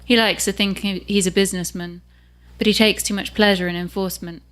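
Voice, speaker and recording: A woman, reading the opening of her own novel aloud in an unhurried way. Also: mid-range voice, fairly even intonation, a bit bright. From England. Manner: measured and unhurried.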